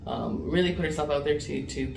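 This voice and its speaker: A woman's voice: low voice, brisk. A woman practicum student describing her mentor teacher enthusiastically, with fillers. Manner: warm, enthusiastic praise with fillers. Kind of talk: interview answers. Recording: distant roomy sound with clear hiss.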